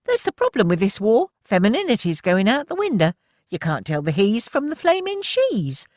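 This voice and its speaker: A woman voicing a brash, glamorous young wartime factory girl who talks loudly and theatrically. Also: medium pitch, huge pitch swings, normal speed, no room sound, clean studio sound, voice pushed up. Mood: defiant. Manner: blustering, theatrical, loud.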